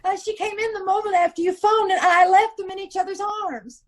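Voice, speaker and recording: A woman playing a sharp-witted, arch, teasing wife of a detective, in an old-time radio mystery. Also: very high-pitched, fairly even intonation, a little fast. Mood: flustered.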